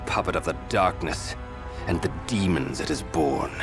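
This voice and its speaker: A man's voice: rumbling low, normal speed. An actor playing a proud, solemn nobleman in a video game, with formal, archaic and stately delivery. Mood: defiant. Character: solemn, proud male noble loyal to rightful king. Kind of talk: dramatic video game voice acting. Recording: music over the voice.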